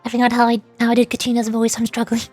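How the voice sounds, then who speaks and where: A woman's voice: a little high, completely flat delivery, racing, darkish tone, soft background music. A woman streamer giving casual, playful, rambling commentary while she plays a video game.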